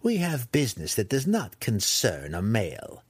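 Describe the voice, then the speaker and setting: Male narrator as scheming elf matriarch, imperious, wry, husky, dry close sound, fantasy audiobook. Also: on the low side, huge pitch swings, no echo, exaggerated voice. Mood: condescending, wry. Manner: condescending, wry, drawn-out delivery.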